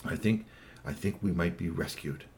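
Gentle stranded male alien, hushed and low, as a man puts him on. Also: moderate pace, a little hiss or hum. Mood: relieved.

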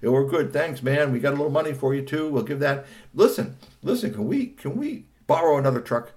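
A man's voice: medium pitch, lively intonation, darkish tone, pitched above the performer's own voice, close to an everyday voice. A man voicing an easygoing young hustler in a told story, chatting and pleading on the phone. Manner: casual, quick pleading.

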